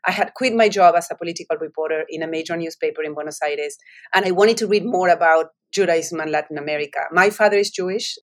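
A woman's voice: fairly low pitch, quick pace. Argentine woman with a Spanish accent. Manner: reflective storytelling with frequent restarts. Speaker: author. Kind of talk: interview answers.

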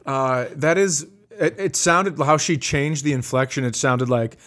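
A man's voice: medium pitch, unhurried, fairly bright tone. A man co-hosting a comedy podcast in casual banter, with an incredulous, joking manner.

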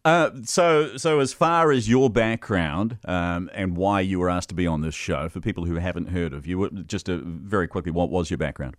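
A man's voice: sits low, moderate pace, few pauses, dry recording, clean studio sound. Outspoken New male host. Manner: outspoken, wry, hesitant fillers. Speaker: radio show host. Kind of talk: opinionated current-affairs talk. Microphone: studio microphone.

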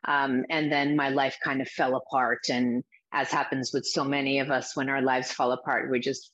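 A middle-aged woman from the Azores talking as an interview guest, reflective and halting with many restarts. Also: rumbling low, flat delivery, fairly bright tone. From Azores, Portugal. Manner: reflective, with frequent restarts and fillers. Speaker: guest, spiritual teacher and coach. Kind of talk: interview answers. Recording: dull lo-fi sound.

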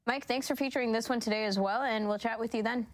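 A woman's voice: a little high, fairly even intonation, fast. A woman, chatting casually as co-host of a radio magazine show. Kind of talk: casual broadcast chat.

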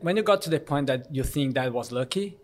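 A man's voice: medium pitch, expressive, a little fast, soft background music. A man in his late thirties from Brazil whose first language is Korean, frankly telling his own story in a casual interview.

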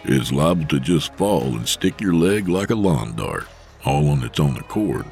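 Menacing male enforcer, voiced by a man, deep growl, gravelly, slow and threatening. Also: lively intonation, darkish tone, soft background music, heavily acted.

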